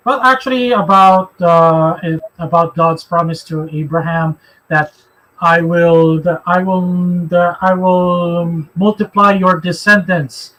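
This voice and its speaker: High-voiced Filipino man, halting delivery. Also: sharp tone, low-quality recording. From Philippines. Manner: halting, repeats phrases as he goes. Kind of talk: live religious discussion, answering questions.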